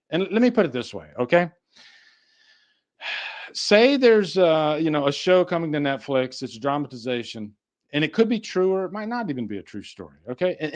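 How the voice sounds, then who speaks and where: A man hosting a casual podcast discussion in a conversational, rambling way, swearing casually. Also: fairly high pitch. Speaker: podcast host.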